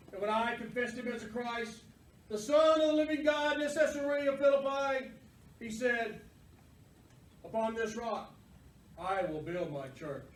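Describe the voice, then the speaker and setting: Echoey, distant church drama: male actor as fervent fisherman disciple, very high-pitched, declamatory, self-doubting. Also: slight background noise. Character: impassioned big fisherman apostle, humbled and self-doubting. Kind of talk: church stage monologue. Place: church, live performance.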